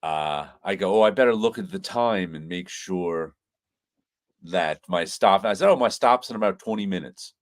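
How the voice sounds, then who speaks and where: A man's voice: deep voice, normal speed. Congested rambling man hosting a chat. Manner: rambling storyteller who laughs often and restarts phrases. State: congested from sinus trouble. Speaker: host. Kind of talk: casual live-stream chat.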